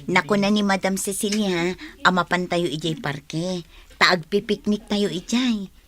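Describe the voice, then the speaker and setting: Devout Ilocano wife. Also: female voice, lowish voice, steady tone, measured pace, a bit bright. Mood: casual, urging. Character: devout, grateful Ilocano wife of a reformed husband. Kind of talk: radio drama acting.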